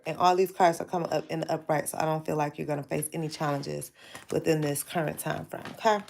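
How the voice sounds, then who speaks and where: A woman's voice: sits low, gravelly, noisy background. A woman hosting a casual live tarot reading, chatting straight to her viewers, her voice stuffy and nasal from sinus trouble. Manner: chatty, addresses viewers directly. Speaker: tarot reader hosting a livestream.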